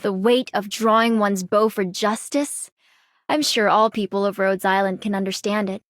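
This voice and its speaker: A woman voicing a principled, composed young woman in a video game, formal and sincere in a measured way. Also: medium pitch, fairly even intonation, no echo, clean studio sound, natural voice. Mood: solemn, sincere. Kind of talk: video game character voice lines.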